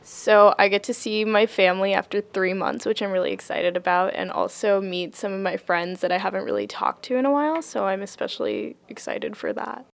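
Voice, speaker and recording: A woman's voice: mid-range voice, steady tone, fairly quick, gravelly, hardly any pauses. A young woman, a student, answering an interview question casually. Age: young adult.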